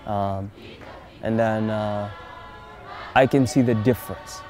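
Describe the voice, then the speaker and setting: A man who ministers as a pastor, answering interview questions outdoors in a steady, reflective way. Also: fairly low pitch, slow, a faint crowd. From Zambia. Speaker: pastor and student. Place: outdoors by a wall. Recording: clear music underneath.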